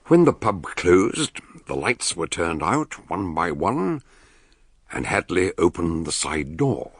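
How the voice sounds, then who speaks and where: A man's voice: sits low, very animated, takes it slowly, breathy edge, no room sound, full character voice. An older British actor playing an eccentric, brilliant detective doctor who narrates and explains his case in a radio drama, theatrical and emphatic. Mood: grave narrating.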